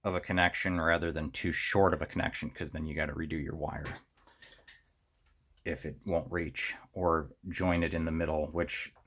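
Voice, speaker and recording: A man talking through hands-on work in an unscripted tutorial, musing out loud in fragments as the host. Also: deep voice. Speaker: host of a DIY circuit bending video series. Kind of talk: unscripted hands-on tutorial commentary while working.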